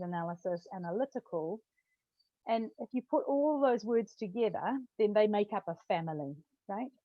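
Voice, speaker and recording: A woman's voice: medium pitch, no room sound. A woman giving an academic talk in a chatty way, often adding "right?" and restarting her phrases. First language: not Hungarian; Hungarian is her second language. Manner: conversational, tag questions, frequent restarts. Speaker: lecturer. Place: home study with bookshelf. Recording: dull, dry lo-fi sound.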